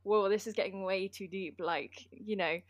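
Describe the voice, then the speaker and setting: A woman's voice: mid-range voice, fairly even intonation, moderate pace, dry recording, studio quality. A bubbly woman hosts a casual book podcast, giggling often and filling her sentences with ums as she chats along. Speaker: podcast host.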